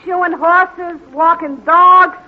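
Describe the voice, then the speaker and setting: A woman's voice: very high-pitched, drawn-out words, full character voice. An actress playing a blunt, put-upon young woman messenger who talks in tough slang, in a 1940s radio comedy. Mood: deadpan. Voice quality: tough street slang, deadpan. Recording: muffled old radio recording with faint hiss.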